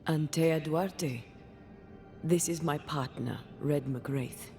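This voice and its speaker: A probing, composed female ghost in a game cutscene. She speaks very slowly with a creaky edge, measured and businesslike. Faint music sits behind. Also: low voice, dark tone. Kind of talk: video game cutscene acting.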